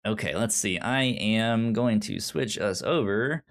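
A man co-hosting a podcast, chatting casually about film in a halting way, often stammering and repeating words. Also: on the low side, creaky. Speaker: podcast co-host. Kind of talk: casual film review chat.

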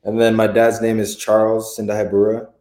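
A young man from Tanzania answering interview questions in a modest, low-key way. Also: completely flat delivery, brisk, a little mellow. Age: young adult. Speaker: interview guest, student athlete.

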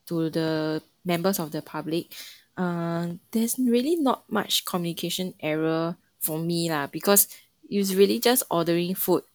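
A woman's voice: lowish voice, measured pace, no echo. A young woman with a Singaporean English accent, chatting casually as an interview guest and laughing often. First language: not Mandarin. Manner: laughs often, uses lah and lor.

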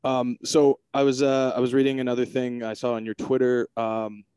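Man, interviewer, low voice, smooth and resonant, dry sound, halting fillers. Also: flat delivery, darkish tone, no echo. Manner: hesitant, full of fillers and restarts. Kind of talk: casual interview chat.

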